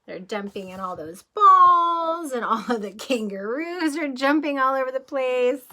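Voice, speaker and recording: Kindergarten teacher type, woman, unhurried storybook read-aloud with a crisp, bright tone. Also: high-pitched. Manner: gentle, expressive storybook reading with questions to children. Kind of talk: children's picture book read-aloud.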